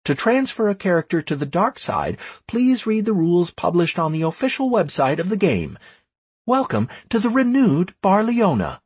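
A man voicing a formal, declamatory game system announcer in a fantasy audiobook, making brisk proclamations. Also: high voice, sing-song, slightly rough, no room sound, pitched above the performer's own voice, exaggerated voice. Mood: official, proclaiming.

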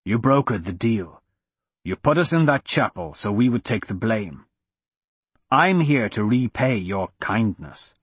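A man voicing a cold, vengeful male elf assassin in a storybook, hissing quiet threats slowly. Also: lowish voice, animated, husky, no echo, voice pushed down. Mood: cold vengeance. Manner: hissed, slow, quiet.

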